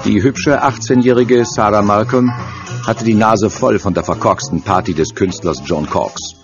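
A man's voice: fairly low pitch, moderate pace, over music. A man narrating a suspense radio play in a scripted, dramatic storytelling style. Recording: dull sound with faint noise.

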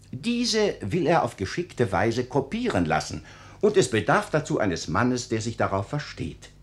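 A dignified, kindly registrar in a radio fairy tale. He gives formal, measured advice in a medium-pitched voice with very expressive rises and falls. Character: benevolent, dignified registrar, fatherly gentleman.

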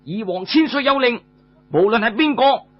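A man's voice: extremely high pitch, animated, normal speed, no echo, dull audio, soft background music, voice pushed up. A man playing a stern, rule-bound military commander in an audiobook tale, insistent and challenging.

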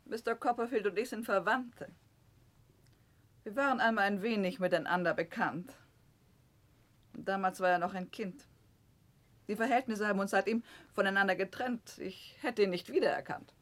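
An actress playing a cold, stern spinster companion, speaking formal, clipped in a 1960s radio drama. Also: female voice, medium pitch, normal speed, long pauses, close to an everyday voice.

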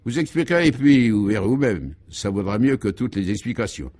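A man's voice: fairly low pitch, animated, fairly quick, darkish tone. A man acting out an assured, sententious driver in a comic radio serial, explaining absurdities matter-of-factly. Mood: enthusiastic.

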